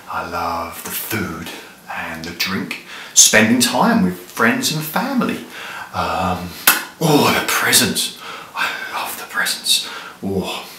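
A man in his thirties casually introducing a story to camera, with pauses. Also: lowish voice, pushed and bright. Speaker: storyteller introducing a Christmas tale. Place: small living room indoors. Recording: roomy echo, faint background noise.

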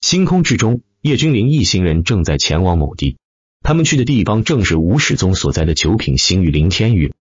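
Deep-voiced man narrating with lively swings. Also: a little fast, husky, no echo, lo-fi sound. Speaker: audiobook narrator. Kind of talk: dramatic scripted web-novel narration.

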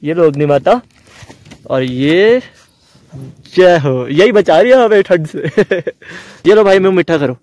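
A young man chatting excitedly to viewers while camping on a mountain meadow, filmed on an action camera. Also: high voice, slow pace, pauses often, no room sound. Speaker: travel vlogger. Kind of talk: casual camping vlog. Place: outdoors on a mountain meadow by a campfire.

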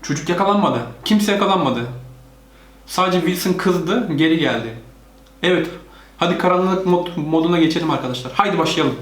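A man's voice: sits high, a little fast, fairly bright tone. A man, probably a parent, hosting casual reaction and gameplay commentary, explaining things to viewers and a child. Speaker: host of a family gaming channel. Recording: roomy echo, music behind. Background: cartoon and game audio with music.

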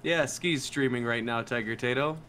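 A man on a gaming stream reading chat aloud and joking with his teammates, playful and excitable. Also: mid-range voice, moderate pace, slight background noise. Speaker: fellow streamer.

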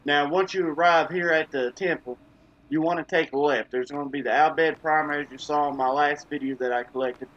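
A man's voice: on the high side, fairly even intonation, brisk, dull audio. A man giving casual, laid-back gameplay commentary as a gaming video host, with informal, slangy phrasing. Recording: game music behind the voice. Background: video game music.

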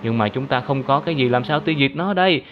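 A man's voice: medium pitch, quick pace, dull audio. A man narrating a children's cartoon, addressing young viewers as friends. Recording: clear background vehicle noise.

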